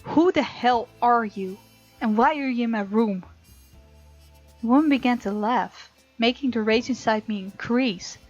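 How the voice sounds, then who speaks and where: A woman with a fairly high voice narrates a game as she plays, reading the text dramatically and throwing in sassy reactions. The recording is slightly dull and lo-fi, with soft game music behind her. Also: measured pace. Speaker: gaming channel host.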